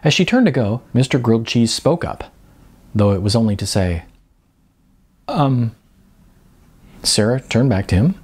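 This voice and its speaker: A middle-aged man giving an expressive scripted narration, as in an audiobook. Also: medium pitch, fairly quick, breathy edge. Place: small bright room indoors.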